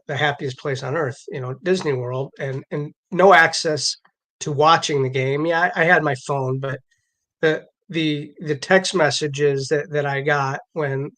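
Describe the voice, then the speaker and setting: A man co-hosting a show in casual sports chat, halting and repeating words as he goes. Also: medium pitch. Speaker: show owner and co-host.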